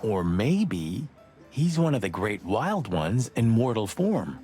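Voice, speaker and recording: A man's voice: lowish voice, very animated, slow pace, warm tone, soft background music. A man playing a world-weary rooster detective in a noir adventure game, speaking in a wry, reflective way. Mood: musing.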